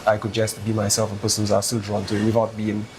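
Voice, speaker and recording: A man in his twenties, a singer and reporter, answering interview questions in a measured, reflective and earnest way. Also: on the low side, completely flat delivery. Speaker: guest vocalist and reporter. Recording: noisy background hiss.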